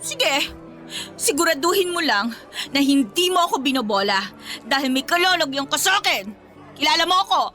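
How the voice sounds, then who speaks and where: A woman's voice: high-pitched, lively intonation, moderate pace, sharp tone, faint music behind. An actress with a Filipino accent playing a jealous, confrontational young woman in an emotional radio romance drama. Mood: suspicious. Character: jealous, fiery young woman, betrayed girlfriend.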